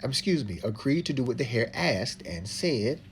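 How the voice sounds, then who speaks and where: A woman's voice: very deep voice, very animated, normal speed. A woman reading a storybook aloud as the narrator. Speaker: narrator, reading partner to a child.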